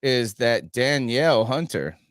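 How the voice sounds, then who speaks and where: A man's voice: medium pitch, sing-song, a little slow, fairly bright tone, clean tone, dry recording, clean studio sound. A man hosting a casual sports podcast, rambling through his points and swearing freely. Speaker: podcast host.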